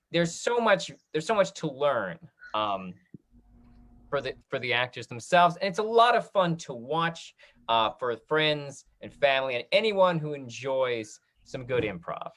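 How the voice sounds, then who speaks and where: A man hosts a relaxed talk-back over a video call. His voice sits in a middle register. He is friendly and encouraging, often halting and restarting as he finds his words. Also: mid-range voice. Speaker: host and online programming director of a theater company.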